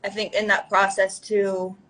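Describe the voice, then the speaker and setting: Young woman guest, mid-pitched, chatty, loose and rambling with filler words. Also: little pitch movement, measured pace, fairly bright tone. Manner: rambling, full of fillers like "like" and "you know". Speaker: guest musician. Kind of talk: casual interview chat.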